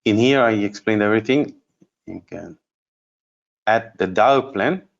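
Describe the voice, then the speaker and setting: A man giving an unscripted software demonstration as a trainer, explaining step by step and thinking aloud in a halting way. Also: lowish voice, vocal fry, muffled recording. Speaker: trainer presenting a product course.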